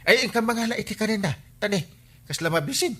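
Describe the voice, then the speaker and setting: An actor with an Ilocano accent playing an excitable, joking village man in a comedy drama. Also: sits high, fast talker, heavily acted. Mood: pitying, animated.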